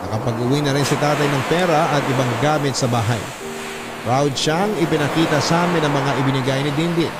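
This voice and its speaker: A man's voice: a little high, fairly quick, loud music behind the voice, natural voice. A man playing a troubled young working son who earnestly recounts his family's story in first person, with a Filipino accent. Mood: somber, reflective. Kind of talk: first-person dramatized narration.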